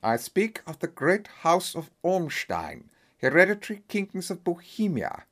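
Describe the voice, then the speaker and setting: A man voicing a huge, secretive masked nobleman in a storybook, with a German accent and a booming, pompous, formal delivery. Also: very animated, unhurried, fairly bright tone, full character voice. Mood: secretive, urgent. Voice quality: deep, booming.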